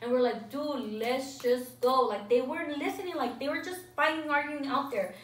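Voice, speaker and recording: A woman's voice: high voice, moderate pace, distant mic. A young woman vlogger telling a story casually, rambling and saying "like" constantly. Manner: rambling, fills speech with "like". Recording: echoey room, voice off-mic.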